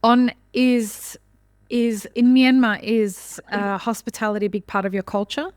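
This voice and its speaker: A woman's voice: on the high side, fairly even intonation, unhurried, a little mellow, clear, resonant tone, no room sound. A woman from Australia with an Australian accent, interviewing guests conversationally as a podcast host.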